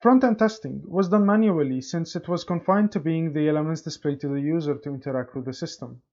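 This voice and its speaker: A man's voice: fairly high pitch, brisk, a little mellow. A young man, a student presenter, reading a scripted technical report. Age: young adult. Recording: slightly dull, lo-fi microphone.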